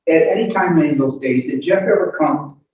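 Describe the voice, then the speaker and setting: A man questioning a witness as a lawyer in court, in an informal and halting way. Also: on the high side, a little mellow, muffled recording. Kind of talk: courtroom cross-examination. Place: echoey courtroom, far from the mic. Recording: very echoey, distant courtroom audio.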